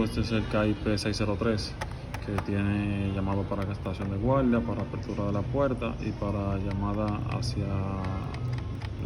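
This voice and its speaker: A man explaining how to install an intercom step by step, walking through wiring and often repeating words for emphasis. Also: on the low side. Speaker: security technician. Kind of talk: on-site installation tutorial. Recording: clear background noise.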